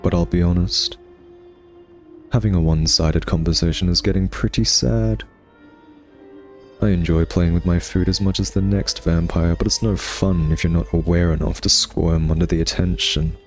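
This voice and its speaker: Man playing a low-voiced smug vampire. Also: steady tone, normal speed, soft background music, natural voice. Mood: wistful, sardonic. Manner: smug, teasing, drawling. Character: smug male vampire taunting his captive hunter nemesis. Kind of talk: ASMR roleplay monologue.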